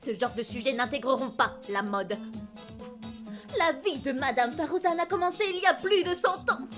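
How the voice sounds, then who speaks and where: A pompous lady scholar from a game , acted by a woman. She lectures in a high, youthful voice, proud of her learning, over clear background music. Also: lively intonation, moderate pace. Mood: brisk, proud. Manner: pompous, didactic. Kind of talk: fan-dub game character acting.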